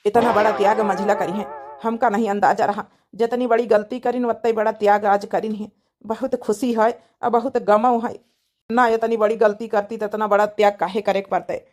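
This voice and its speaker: A woman's voice: mid-range voice, close to an everyday voice. A woman voicing an earnest, emotional village man in a cartoon family drama, insistent and repetitive, with an Awadhi accent. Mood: grateful, moved.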